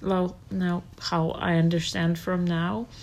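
A woman, answering interview questions about her childhood in an unhurried way, searching for her words. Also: fairly low pitch, flat delivery, vocal fry, darkish tone. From Russia. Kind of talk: casual childhood memories.

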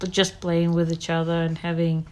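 A woman, answering interview questions about her childhood in an unhurried way, searching for her words. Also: fairly low pitch, monotone, a little mellow. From Russia. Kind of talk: casual childhood memories.

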